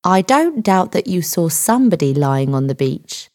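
Woman voicing a patronizing, low-pitched police sergeant. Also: very animated, a little mellow. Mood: friendly, doubtful. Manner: condescending, measured. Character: patronizing male police sergeant, friendly but dismissive. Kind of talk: audiobook character dialogue.

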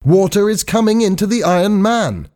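A man voicing a bold, anxious young crewman in an audiobook story, urgent and forceful. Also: on the low side, expressive, takes it slowly. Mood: shouting, anxious.

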